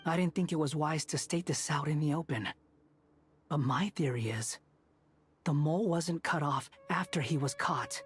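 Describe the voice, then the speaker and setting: A man voicing a shrewd, self-assured young strategist in a video game, explaining things in a measured way. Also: on the high side, husky, faint music behind.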